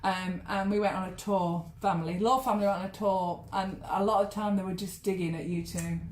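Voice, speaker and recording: A woman's voice: medium pitch, fairly even intonation, fairly quick, darkish tone, reverberant, poor audio. An English woman in her fifties, co-hosting a singalong with friendly, jokey chat. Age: 50s. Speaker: singing teacher and co-host. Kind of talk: casual chat.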